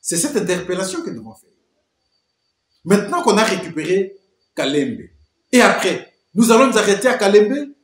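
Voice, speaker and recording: A man's voice: high-pitched, big pitch swings, normal speed, pauses often, echoey room. A man giving emphatic political commentary, full of rhetorical questions and repeated phrases.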